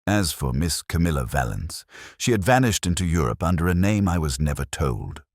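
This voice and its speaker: Very deep-voiced male storyteller, measured and dramatic. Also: very animated, dry recording, studio quality. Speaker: audiobook narrator. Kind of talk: scripted dramatic narration of a Victorian detective story.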